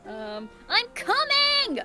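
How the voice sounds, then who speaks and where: A woman reading aloud as a shy, flustered teenage schoolgirl in a visual novel, expressive and earnest. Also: very high-pitched, extremely slow, music in the background, pitched above the performer's own voice, exaggerated voice.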